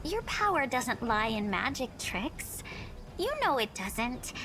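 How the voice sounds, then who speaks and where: A woman's voice: on the high side, very animated, slow pace, music in the background. A woman voicing a sweet, loyal young female companion in a video game, simple and heartfelt. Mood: earnest, reassuring.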